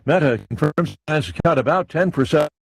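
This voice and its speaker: A man reading radio news headlines briskly. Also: on the high side, lively intonation, vocal fry, no echo. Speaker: news anchor.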